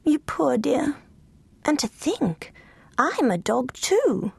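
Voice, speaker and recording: A woman's voice: high voice, sing-song, measured pace, slightly rough, dry recording, pitched above the performer's own voice, full character voice. A woman voicing a pampered little female lapdog, breathless and gushing. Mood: tender.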